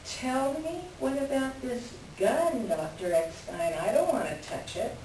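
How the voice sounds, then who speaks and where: A woman's voice: on the high side, lively intonation, measured pace, a little mellow. A woman playing a feisty, blunt female employee in a staged reading, wary but fond, with a dramatic delivery. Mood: alarmed. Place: echoey room, far from the mic. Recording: distant echoey room with background noise.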